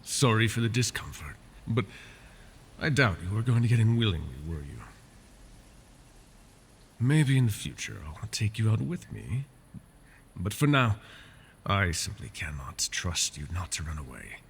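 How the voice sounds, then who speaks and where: A man voicing a wounded male dragon who keeps a human captive, soft-spoken and polite, often slipping into whispers, for an ASMR fantasy roleplay. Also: on the low side, sing-song, a little slow, pauses often, slight background noise. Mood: apologetic. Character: wounded male dragon, possessive yet doting captor.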